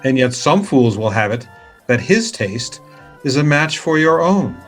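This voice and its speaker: An actor playing a vengeful, smoothly false-friendly nobleman who narrates in a deliberate, formal, theatrical dramatic reading. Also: medium pitch, very animated, soft background music. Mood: feigned warmth. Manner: deliberate, measured, formal diction.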